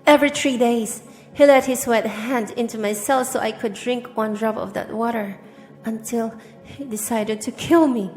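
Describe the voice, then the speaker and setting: A woman's voice: fairly high pitch, normal speed, darkish tone. A woman narrating a dramatic first-person story, with long reverb and music behind her.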